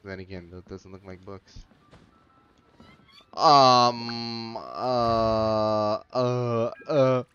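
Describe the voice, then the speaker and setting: A man's voice: mid-range voice, steady tone, drawn-out words, a bit bright, muffled recording, faint music behind. A man giving casual commentary as he plays through a video game story. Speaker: gaming streamer playing through a story quest.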